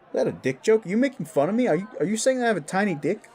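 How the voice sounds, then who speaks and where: A big werewolf bully boss, acted by a man, with a gravelly edge and swinging, animated pitch. He is touchy and defensive, blustering and stumbling over his words in an audio drama. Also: measured pace. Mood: defensive, indignant. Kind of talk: scripted audio drama dialogue.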